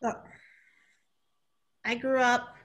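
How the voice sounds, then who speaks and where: A woman in her thirties, a leadership coach, speaking candidly and animatedly on a video call from her home, sometimes trailing off softly. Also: on the high side, level tone, extremely slow. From born in Okinawa, grew up in California. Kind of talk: panel discussion. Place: small home room indoors. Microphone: laptop camera on video call.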